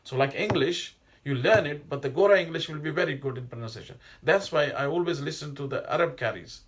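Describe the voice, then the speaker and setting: A man's voice: on the high side, a little fast, noisy background. A middle-aged man, whose first language is not Arabic, giving an informal religious lesson in a rambling, digressive way with many hesitations and restarts. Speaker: Islamic teacher.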